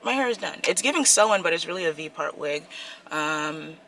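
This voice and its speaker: A woman's voice: low voice, lively intonation, moderate pace, a bit bright, rough recording. A young woman from the Washington DC area, chatting and rambling to her camera from inside her parked car. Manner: chatty, rambling, self-mocking. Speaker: vlogger. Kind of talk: casual talking-to-camera vlog. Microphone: camera mic on a vlog camera.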